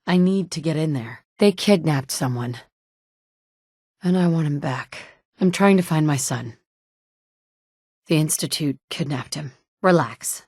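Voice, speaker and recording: A woman's voice: sits low, measured pace, pauses often, a little mellow, no room sound, clean studio sound. An actress playing a determined, guarded woman survivor in a video game, speaking in a clipped, matter-of-fact way. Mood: grim, determined. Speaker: voice actor for a video game player character. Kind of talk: video game dialogue lines.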